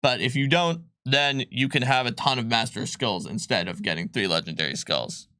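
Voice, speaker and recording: A man walks through tabletop rule options on a live stream in a bright, forward voice. He talks loosely and chattily, with plenty of fillers and the odd laugh, close to a dry microphone. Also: medium pitch, moderate pace, dry recording. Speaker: game designer presenting a rulebook on a live stream. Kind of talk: casual explanatory stream chat.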